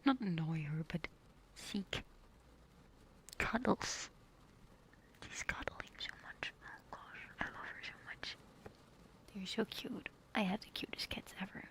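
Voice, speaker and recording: Whispering woman, soft ASMR streamer. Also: medium pitch, lively intonation, rough recording. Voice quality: breathy whisper and hushed stage whisper. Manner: flustered, halting, repeats words and phrases. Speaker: livestreamer hosting an ASMR stream. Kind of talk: whispered ASMR livestream chat.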